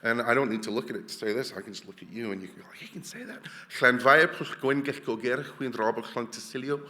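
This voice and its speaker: A man's voice: mid-range voice, fairly even intonation, quick pace, bright, forceful tone, in a large hall. A man from Wales, giving a chatty, jokey conference talk full of restarts. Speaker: conference speaker. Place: large hall.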